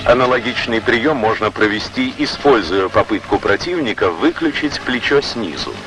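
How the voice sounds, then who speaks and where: A man reading scripted instructional narration in a formal, measured, didactic way, on an old Soviet educational film soundtrack. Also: medium pitch, expressive, music in the background, rough recording.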